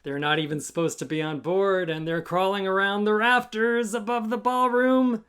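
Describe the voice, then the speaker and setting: A man's voice: high-pitched, steady tone, normal speed, fairly bright tone, smooth. A man reading a children's story theatrically and rhythmically, as an author leading a storytime with chanting and audience participation. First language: English.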